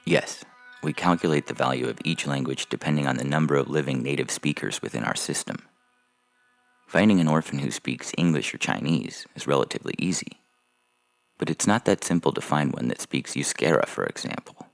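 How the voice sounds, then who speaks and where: A man playing a slick, callous adoption agency salesman with gravelly smooth patter in an audio story. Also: very deep voice, a little fast. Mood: smooth selling. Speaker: voice actor reading a fiction podcast story. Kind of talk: dramatized short story reading. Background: music under the voice.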